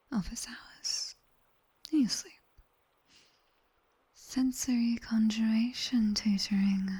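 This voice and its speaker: A British woman playing a sharp-tongued demoness tutor in a slow, teasing, breathy ASMR whisper, close on the microphone. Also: medium pitch, exaggerated voice. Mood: dryly teasing. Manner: slow, deliberate, teasing, dryly stern. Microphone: close binaural microphone.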